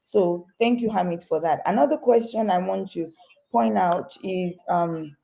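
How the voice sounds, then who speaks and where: A woman's voice: fairly low pitch, measured pace, vocal fry, mellow. A woman hosting an interview, speaking to her viewers directly with frequent "um" fillers. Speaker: real estate host.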